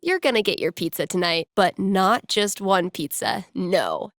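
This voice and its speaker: A woman's voice: mid-range voice, expressive, measured pace, no room sound, studio quality. A woman playing a laid-back, playful young radio DJ in a video game, with wry, slangy, mock-dramatic call-in patter. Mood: amused, playful. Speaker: call-in radio show host.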